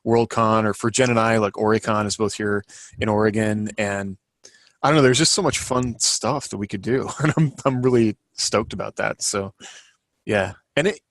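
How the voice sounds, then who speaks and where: Guy podcast chat, kinda low voice, rambly, thinks out loud, no room echo. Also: steady tone, moderate pace. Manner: rambling, hedging, thinking aloud. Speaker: podcast co-host and co-author.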